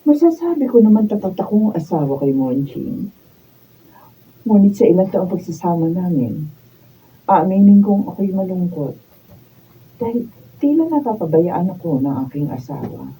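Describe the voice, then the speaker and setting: A woman's voice: on the low side, dark tone, lightly acted. A woman with a Filipino accent acting a neglected, unhappy wife falling for a friend in a radio drama. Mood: sorrowful, confiding. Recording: dull raw video, distant mic, faint noise.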